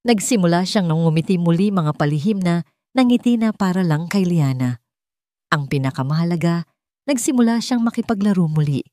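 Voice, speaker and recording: A woman's voice: lowish voice, expressive, normal speed, warm tone, no echo. A woman reading a scripted dramatic story as an audiobook narrator.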